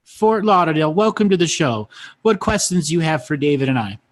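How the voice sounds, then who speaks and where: Guy hosting a live call-in, slightly high voice, patient and guiding. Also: sing-song, brisk. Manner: friendly, guiding, repeats questions patiently. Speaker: host.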